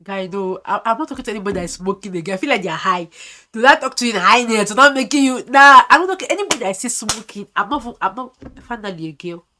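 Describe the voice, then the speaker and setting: Brief: young Nigerian woman, vlogger, bright lively voice, high and animated, quick to laugh out loud. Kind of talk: casual video chat commentary.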